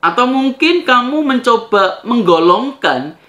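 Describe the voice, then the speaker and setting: A man's voice: extremely high pitch, big pitch swings, measured pace, bright, forceful tone. A man hosting a video, talking conversationally to viewers as he gives commentary and poses rhetorical questions. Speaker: video host. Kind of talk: spoken rebuttal commentary to viewers.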